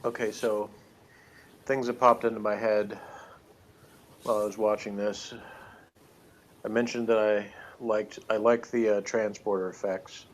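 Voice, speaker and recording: A man co-hosting a review show in an informal chat, pausing in the middle of his thoughts. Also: lowish voice, flat delivery. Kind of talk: casual chat.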